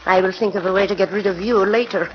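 A woman's voice: medium pitch, fairly even intonation, quick pace, slight background noise, natural voice. A woman playing a scheming foreign countess. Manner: imperious, scheming, turns panicky. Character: scheming foreign female countess, gangster's moll. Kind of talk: 1940s radio acting. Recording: muffled 1940s radio recording.

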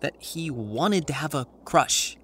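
An actor playing an anxious, awkward teenage boy in an audio drama, with a halting, dry, naturalistic delivery. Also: a little high, lively intonation, a bit bright, a little hiss or hum. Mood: wry, reflective. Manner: halting, dry, self-conscious.

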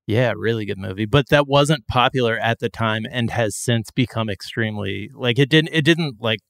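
A man's voice: medium pitch, moderate pace, vocal fry, fairly bright tone, dry recording, clean studio sound. A man co-hosting a podcast in loose, rambling casual chat, often laughing mid-sentence. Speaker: podcast co-host.

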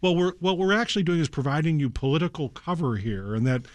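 A man with a mid-range voice speaking slowly and deliberately on a radio panel, stopping often to search for the right word. His voice sounds close and dry. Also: on the high side, animated, no room sound. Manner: measured, deliberate, pauses to search for words. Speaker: panelist, good-government advocate. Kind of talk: radio news roundtable.

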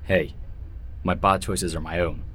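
A man's voice: low-pitched, fairly even intonation, a little slow, fairly bright tone, a little hiss or hum. A man playing a weary, sardonic prisoner in an audio drama, with a dry, sarcastic manner. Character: weary, sardonic male prisoner in chains from the future. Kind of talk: naturalistic audio drama acting.

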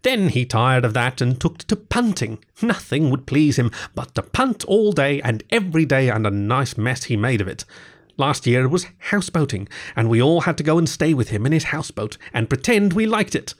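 A man voicing a friendly, easygoing gentleman river rat from a storybook, chatty and cheery. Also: medium pitch, very animated, moderate pace, close to an everyday voice. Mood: chatty, wry. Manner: cheery, chatty, old-fashioned British idiom. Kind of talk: storybook character voice.

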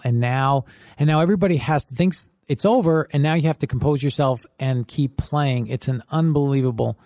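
A man's voice: mid-range voice, warm tone, no room sound, studio quality. A man hosting a podcast, interviewing a guest in a conversational way with loose, restarting phrasing. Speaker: podcast host.

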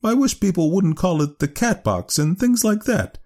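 Man putting on a prim, high-pitched woman. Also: very animated, moderate pace, a little mellow. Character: prim, earnest, agreeable woman office worker. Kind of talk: dramatised short story reading.

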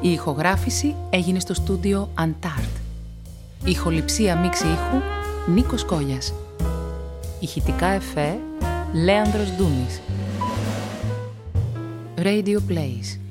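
A woman's voice: fairly low pitch, lively intonation, pauses often. A woman reading scripted credits as the announcer of a radio play. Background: jazz music with saxophone.

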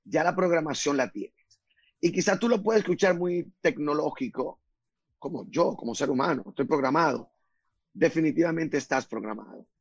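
A man from the Republic, speaking as a guest on a radio talk show, earnestly explaining his ideas and slowing down to stress points. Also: fairly high pitch, lively intonation, husky, dry recording, dull audio. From Dominican Republic.

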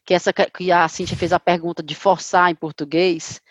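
A Brazilian woman co-hosting a podcast, chatting and explaining quickly. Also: lowish voice, level tone, breathy edge, dry recording, muffled recording. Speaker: podcast co-host. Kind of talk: casual chat.

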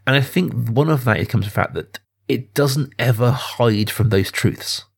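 A man, perhaps in his thirties, talking through a film casually and earnestly, thinking aloud in a halting way as a podcast host. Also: mid-range voice, gravelly, breathy edge.

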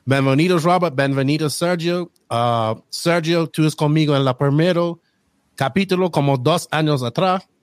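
Non-native man hosting in halting Spanish. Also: a little high, clear, resonant tone, no echo, studio quality. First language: English. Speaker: podcast host. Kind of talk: casual podcast intro.

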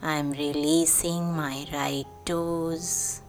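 A woman guides a sleep meditation in a low voice. She speaks extremely slowly and soothingly, leaving deliberate pauses between short phrases. Music plays softly behind her. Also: flat delivery. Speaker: meditation guide, podcast host. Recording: voice over background music.

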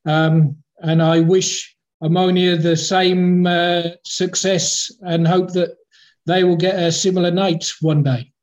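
A man's voice: sits high, flat delivery, slow, a little mellow. A man with a slightly raised voice talks as a guest about football, rambling along with frequent ums and uhs and restarting his phrases. The sound is dull and lo-fi, like a remote call line. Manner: rambling, hesitant, many fillers and restarts. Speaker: former football club chief executive, guest. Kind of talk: casual podcast interview. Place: in the capital of Kazakhstan.

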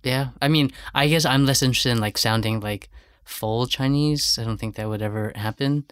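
A man's voice: mid-range voice, moderate pace, fairly bright tone, smooth, no echo, studio quality. A young man hosting a casual interview, talking loosely with lots of filler words. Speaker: interviewer, podcast host.

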